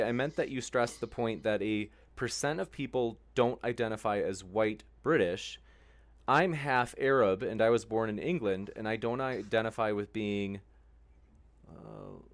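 Brisk male host reading comments. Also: medium pitch. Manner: brisk, reads quotes slowly and carefully.

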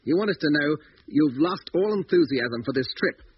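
A man's voice: a little high, animated, normal speed, lightly acted. An actor playing a blunt, suspicious, hot-headed male astronaut in a 1950s radio drama, with an accusing manner. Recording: muffled, noisy 1950s radio recording.

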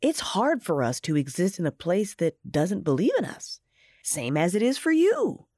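A folksy, wise imaginary friend, with a rough, husky voice. The pitch swoops dramatically while the manner stays patient and gentle, in fairy-tale drama style. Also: female voice, wildly swooping pitch, moderate pace, no room sound, studio quality, heavily acted. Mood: sorrowful. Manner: patient, explanatory, theatrical.

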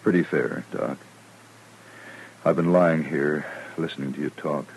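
A male actor playing a calm, philosophical wounded frontier man in an old radio western. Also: extremely low pitch, unhurried, rough recording. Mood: calm, reflective. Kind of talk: old time radio drama acting. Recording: hissy vintage radio recording.

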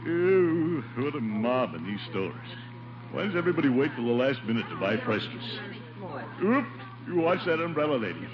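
Actor playing a blustery, swooping middle-aged American bachelor. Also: male voice, medium pitch, sing-song, moderate pace, natural voice. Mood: harried. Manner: blustery, exclamatory, theatrical. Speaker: lead comic actor. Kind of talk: 1940s-50s radio sitcom acting. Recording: dull, hissy old radio recording.

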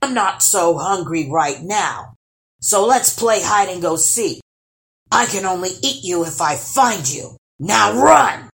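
A woman voicing a huge, hungry male troll from a children's storybook, gruff and blustering, with a roaring, drawn-out delivery. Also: mid-range voice, expressive. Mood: gruff, playful.

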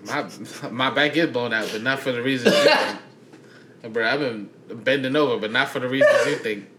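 A man's voice: mid-range voice, moderate pace, a bit bright, a little hiss or hum. A young man co-hosting a casual chat, laid-back and slangy, drawing out his phrases. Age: young adult. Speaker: podcast co-host.

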